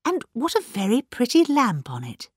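A softly courteous old village lady, acted by a woman narrator, shrewd under her politeness. She speaks at a measured pace with lively rises and falls, in a dry, close storybook recording. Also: lowish voice, husky, dry recording, full character voice. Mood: polite, admiring.

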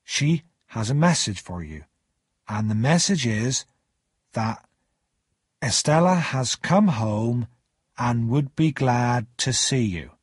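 Guy doing a kindly awkward blacksmith in a storybook, husky, slow n halting, very humble. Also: medium pitch, lively intonation, no room sound, studio quality. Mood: solemn, humble.

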